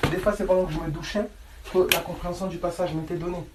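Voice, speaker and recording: A male preacher teaches in an informal, colloquial way. He is insistent, doubling back on phrases to drive them home. His voice rings in a reverberant room, with clear noise behind it. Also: high-pitched, fairly even intonation, fast. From France. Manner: insistent, often checks listeners follow; colloquial, repeats phrases for emphasis. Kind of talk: informal sermon, Bible teaching.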